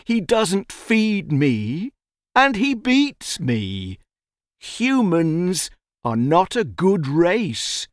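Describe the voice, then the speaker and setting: A worn-out storybook donkey, acted out by a woman, bitter and complaining. The words come very slowly, in a raised, swooping pitch. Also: sing-song, no room sound, studio quality, heavily acted. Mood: weary, bitter. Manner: weary, drawn-out complaining. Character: tired, overworked male donkey, bitter about humans.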